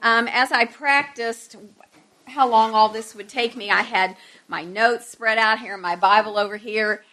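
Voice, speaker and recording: A woman's voice: fairly high pitch, steady tone, measured pace, bright, forceful tone. A middle-aged woman giving an informal Bible lesson to a group, conversational, with self-deprecating asides to her listeners.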